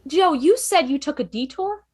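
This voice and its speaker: A woman's voice: high-pitched, expressive, normal speed, a little mellow. A woman playing a clever, curious, chatty time traveller in an amateur drama table read, breezy and inquisitive. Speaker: table read performer and narrator. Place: online video call table read. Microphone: video call.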